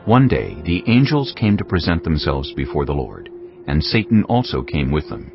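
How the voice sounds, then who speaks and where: A man narrating scripture in a grave, measured way. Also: a bass voice, big pitch swings, creaky. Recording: dull lo-fi recording. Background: cinematic music.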